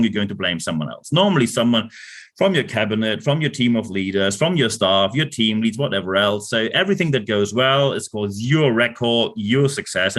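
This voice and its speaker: Animated German-accented man lecturing. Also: lowish voice. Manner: animated, emphatic, jokey asides, repeats words. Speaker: leadership consultant host. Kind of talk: lecture-style talk to camera.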